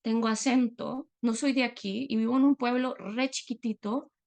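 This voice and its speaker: A middle-aged woman from Latin America giving a personal talk as a guest speaker, reflective and earnest, often pausing on fillers. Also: fairly high pitch, steady tone, vocal fry, a little mellow.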